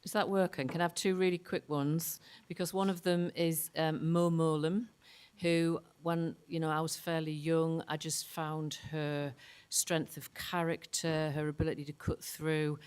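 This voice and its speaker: A woman's voice: deep voice, level tone, measured pace, a little mellow, smooth. A woman panelist answering eagerly with personal anecdotes.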